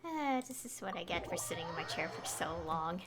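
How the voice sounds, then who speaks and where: A woman's voice: lowish voice, lively intonation, a little fast, very noisy. A woman doing casual livestream game commentary, muttering to herself and reading prompts aloud. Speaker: game streamer.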